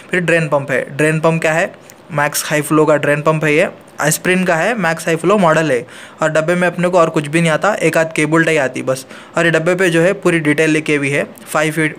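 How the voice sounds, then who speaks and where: A man's voice: a little high, fairly bright tone, a little hiss or hum. A man, an AC technician walking through a hands-on tutorial in a plain, practical way, filmed on a handheld phone indoors.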